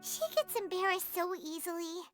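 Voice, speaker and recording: A woman's voice: very high voice, steady tone, normal speed, a bit bright, soft background music. A woman voicing a small, chatty fairy companion in a video game, childlike and blunt, speaking of herself in the third person. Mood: blunt, frank.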